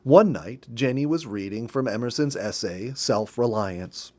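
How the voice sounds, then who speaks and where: Man reading aloud with lively intonation. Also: mid-range voice, a little slow. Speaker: storybook reader. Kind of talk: read-aloud storytelling from a nature memoir.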